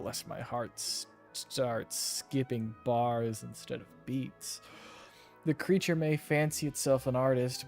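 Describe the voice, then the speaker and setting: A man's voice: mid-range voice, creaky, darkish tone. A man reading game text aloud and commenting casually as he plays. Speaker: game streamer.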